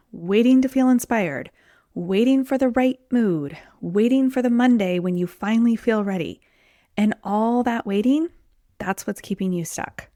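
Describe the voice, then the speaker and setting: A woman's voice: mid-range voice, dark tone, dry recording, studio quality. A woman hosting her own podcast as a weight loss coach, talking in a chatty, conversational way, her voice a little off from being sick. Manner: chatty, conversational, says "like" and "um" often. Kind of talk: solo podcast talk.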